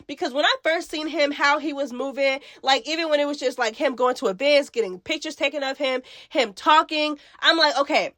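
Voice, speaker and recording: A woman with a stuffy nose from a cold hosting a casual gossip recap, chatty and animated, full of slang. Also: high-pitched. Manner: chatty, animated, slangy, calls listeners girl and baby. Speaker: host of a reality TV gossip channel.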